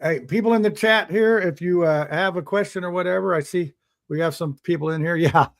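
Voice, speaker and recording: A man's voice: sits high, a little fast. A man hosting a casual panel talk show, rambling with many restarts and frequent chuckles. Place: outdoors in the sun.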